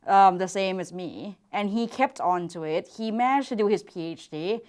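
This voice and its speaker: A woman's voice: medium pitch, lively intonation, darkish tone. Earnest young woman. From Thailand. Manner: earnest and reflective, with hesitant pauses. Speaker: archer and university PhD student. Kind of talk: answering interview questions.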